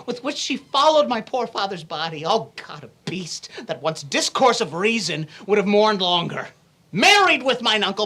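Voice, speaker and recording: A Canadian reader in a casual table read, playing a brooding, bitter young prince and reading the verse haltingly. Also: medium pitch, bright, forceful tone, slightly rough, heavily acted. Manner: reads verse haltingly, breaking into laughs. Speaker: amateur reader.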